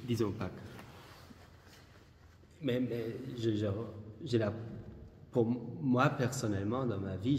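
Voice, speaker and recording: A man from Belgium, in a casual interview, speaking slowly and hesitantly, with many restarts. His voice is dark and mellow. It echoes through a large, roomy hall, with faint ambience behind it. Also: lowish voice, a little hiss or hum. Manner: hesitant, restarts often, slow and searching. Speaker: artist interviewed about his work.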